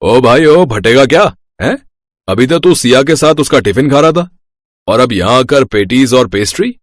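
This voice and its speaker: A man's voice: medium pitch, animated, normal speed, dry recording, clean studio sound, pitched below the performer's own voice, natural voice. A man voicing a blunt, teasing young college friend in a moral story. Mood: teasing, questioning.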